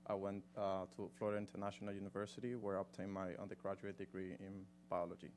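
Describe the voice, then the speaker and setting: A man's voice: deep voice, little pitch movement, quick pace, darkish tone, slight background noise. A man from Cuba introducing himself as a medical student, with hesitant fillers.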